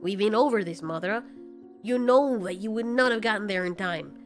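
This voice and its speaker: A laid-back male winged monster, played by a woman for an audio drama. He talks casually and bluntly, with a low-key, matter-of-fact loyalty, pitched under the storyteller's usual voice. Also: normal speed, faint music behind, close to an everyday voice. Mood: firm reassurance. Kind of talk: amateur audio drama dialogue.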